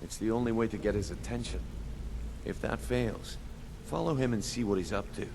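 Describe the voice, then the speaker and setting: An actor playing a shrewd, scornful thieves' guild boss in a video game, with a wry, commanding delivery. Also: male voice, lowish voice, moderate pace. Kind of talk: scripted game dialogue. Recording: clearly noisy background under game dialogue.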